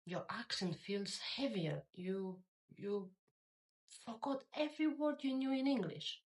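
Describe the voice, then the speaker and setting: A woman's voice: medium pitch, measured pace. A woman, talking straight to the viewer in an encouraging, motivational vlog. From Greece. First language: Greek. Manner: encouraging, direct, speaks to the viewer. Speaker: YouTuber. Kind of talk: motivational talk to camera.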